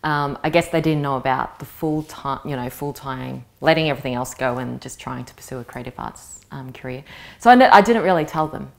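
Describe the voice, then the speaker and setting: A woman with a notably low voice, in her thirties, talks through her answers in an interview. Her first language is Vietnamese, and she speaks in a reflective way, pausing as she looks for the right words. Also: creaky. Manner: thoughtful, searching for words. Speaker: interviewee, actress. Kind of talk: reflective personal interview talk.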